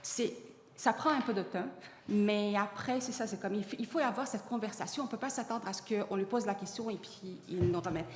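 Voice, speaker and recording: A woman's voice: medium pitch, fairly quick, a little mellow, a little hiss or hum. Earnest woman panelist, Arabic native speaker. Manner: earnest, many restarts and fillers. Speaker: panelist on AI in education. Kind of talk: panel discussion answering audience. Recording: long hall reverb.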